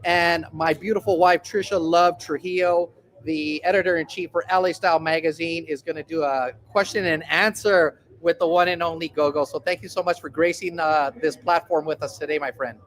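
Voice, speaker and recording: A man's voice: a little high, steady tone, normal speed, fairly bright tone. A man hosting a live online masterclass, introducing the guest in an upbeat, formal, announcer-like manner over a video call. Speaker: host.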